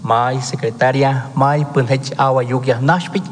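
A man's voice: medium pitch, steady tone, measured pace. A man whose first language is Mixe, presenting a weekly cultural segment in a formal, ceremonious way. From Mixe region of Oaxaca, Mexico. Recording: hall reverb.

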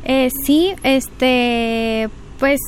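Young woman, 20s, polite, kinda hesitant, says um a lot, highish voice. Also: steady tone, extremely slow, clear, resonant tone. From Mexico. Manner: polite, a bit hesitant, frequent filler words. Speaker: pageant candidate. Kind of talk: interview answers.

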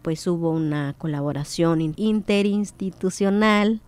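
A woman's voice: on the low side, a little slow, darkish tone, clean tone. A woman whose first language is Popoluca, a linguist speaking as a guest in a radio interview, hesitant with many fillers.

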